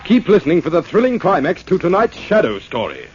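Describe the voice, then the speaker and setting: A man's voice: sits high, huge pitch swings, fairly quick, loud music behind the voice. A man narrating a 1940s radio drama as its announcer, in a dramatic scripted style. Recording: muffled vintage radio broadcast.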